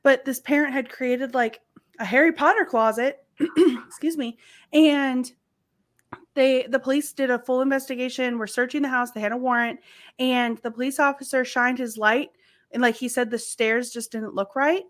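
A woman's voice: sits high, fairly even intonation, normal speed. A woman from the American South co-hosting a podcast, chatting casually and laughing often as she tells stories. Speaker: podcast co-host. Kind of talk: casual chat.

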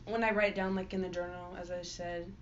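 A woman's voice: lowish voice, level tone, brisk. A young woman, a singer-songwriter, chatting casually in an interview and filling her answers with "like". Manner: chatty, many fillers. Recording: dull lo-fi audio with faint noise.

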